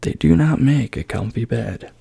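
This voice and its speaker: A man voicing a polite, sleepless sheep from a bedtime storybook, soft, breathy and plaintive. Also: medium pitch, animated, moderate pace, no room sound, full character voice. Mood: let down. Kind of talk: soft-spoken ASMR storybook reading.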